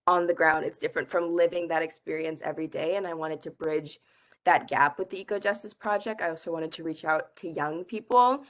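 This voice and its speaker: A woman's voice: sits low, a little fast. A young woman from the Bahamas, a climate activist guest answering interview questions earnestly, with frequent "like" and "I think". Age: young adult.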